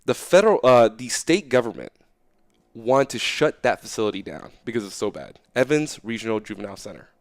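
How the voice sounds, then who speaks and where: A young man talking casually as an interview guest, animated and loose, often checking in with "right?". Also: mid-range voice, a little fast, dry recording, clean studio sound.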